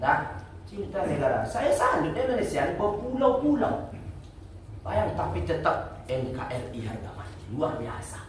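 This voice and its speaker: A man preaching a lively mosque sermon, putting questions to the congregation and answering them himself. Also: fairly high pitch, normal speed. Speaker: Islamic preacher. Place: mosque prayer room. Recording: echoey room, distant mic, faint noise.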